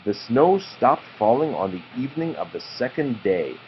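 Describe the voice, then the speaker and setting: A man reading a children's picture book aloud to his child in a slow, drawn-out storytelling way, with informal asides. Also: medium pitch, big pitch swings. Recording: muffled home recording with background noise.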